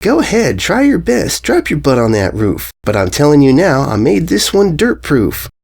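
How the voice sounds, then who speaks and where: A man's voice: fairly high pitch, wildly swooping pitch, moderate pace, gravelly, a little mellow, slightly rough, pitched above the performer's own voice, exaggerated voice. A man voicing a confident, defiant young pony filly in a storybook, self-assured and challenging. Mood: confident challenge.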